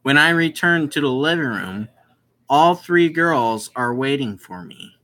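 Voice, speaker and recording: A gamer reading a visual novel aloud with casual commentary, halting and often explaining who is speaking. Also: mid-range voice, lively intonation, sharp tone. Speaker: gaming video host.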